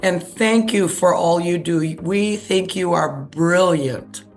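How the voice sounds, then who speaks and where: Creaky-voiced woman in her seventies. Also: lowish voice, takes it slowly, soft background music. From Hawaii. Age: 70s. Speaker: caller, course student. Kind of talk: casual question on a group call. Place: online call.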